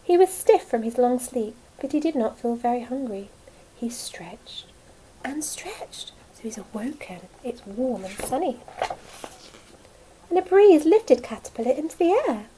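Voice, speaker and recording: Soft-toned woman reading to young children. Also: on the high side, unhurried, a little hiss or hum, low-quality recording. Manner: simple, expressive, child-directed. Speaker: nursery teacher.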